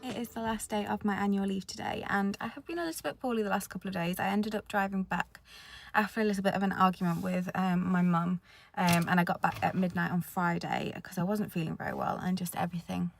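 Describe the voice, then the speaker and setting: Chatty young woman with creaky voice. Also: mid-range voice, fairly even intonation, quick pace. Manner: chatty and candid, talking straight to camera. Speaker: vlogger. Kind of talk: casual diary-style vlog chat.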